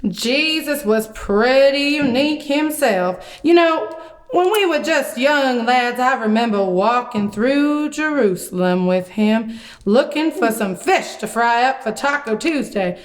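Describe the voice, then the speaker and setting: A woman voicing a folksy, excitable male prophet puppet who tells stories theatrically in a children's puppet show. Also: fairly high pitch. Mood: folksy reminiscing. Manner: folksy, theatrical, drawn-out delivery.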